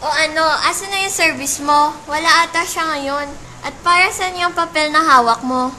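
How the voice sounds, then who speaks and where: Casting: woman as nosy Filipino teen best friend, very bright high voice, radio play, clear background noise. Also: normal speed. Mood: curious, teasing. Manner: nosy, teasing, full of questions. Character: gossipy, skeptical teenage schoolgirl friend. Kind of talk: amateur radio drama acting.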